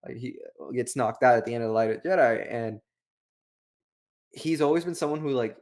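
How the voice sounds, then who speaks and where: A man's voice: mid-range voice, brisk, darkish tone. A man co-hosting a review show, chatting casually in a rambling, slangy way peppered with "like". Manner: rambling, heavy use of "like". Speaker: book review show co-host. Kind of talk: casual, slangy chat, retelling and commenting.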